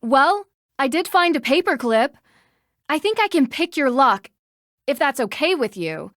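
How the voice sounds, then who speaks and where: An actress playing a polite, inquisitive young female amateur detective with an American accent in a video game, speaking in a measured way. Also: sits high, sing-song, fairly bright tone, no room sound, studio quality, exaggerated voice. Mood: cheerful, confident. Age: young adult.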